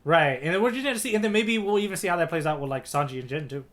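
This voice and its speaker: A man's voice: on the high side, expressive, rapid-fire, fairly bright tone. A man co-hosting a podcast in casual discussion, often checking in with a questioning "right?" as he makes his points. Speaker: podcast co-host.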